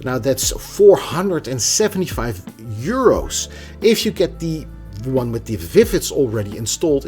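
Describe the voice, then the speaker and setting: Animated man reviewing gear over music. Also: mid-range voice. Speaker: product reviewer. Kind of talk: casual tech review.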